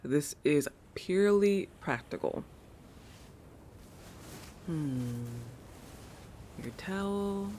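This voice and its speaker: A woman's voice: rumbling low, warm tone, a little hiss or hum. A woman voicing a proud, possessive young shark girl in a roleplay monologue, with a haughty, teasing manner that keeps correcting itself. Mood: flustered, possessive. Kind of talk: roleplay audio monologue addressed to the listener.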